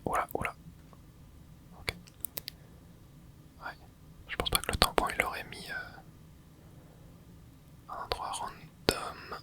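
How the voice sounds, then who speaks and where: A host whispering casual ASMR commentary over gameplay, reacting excitedly and chatting to viewers. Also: slow pace, long pauses. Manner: casual, chatty, self-correcting. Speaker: channel host.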